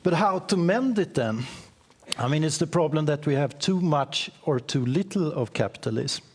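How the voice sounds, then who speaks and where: A man speaking as a panelist from banking in a panel discussion, in a measured, reasoned way. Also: a little high, expressive.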